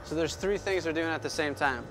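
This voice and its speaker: A man's voice: a little high, fairly quick, a bit bright, soft background music. A man in his thirties talking earnestly and upbeat about his furniture business in a casual interview, recorded in a workshop on a lapel mic. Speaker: small business owner.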